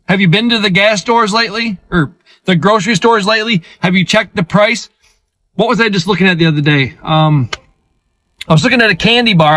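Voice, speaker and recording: A man's voice: sits high, bright, forceful tone, dry recording. A man delivering an insistent, casual rant straight to his followers, punctuated with rhetorical questions. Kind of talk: direct-to-camera rant.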